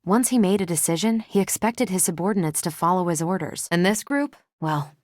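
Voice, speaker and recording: An actress playing a confident, wry superhero and ex-military pilot in a video game, speaking with dry, casual self-assurance. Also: female voice, fairly low pitch, animated, fairly quick, no room sound, close to an everyday voice. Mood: composed, confident. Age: adult. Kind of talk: video game voice acting, conversational dialogue.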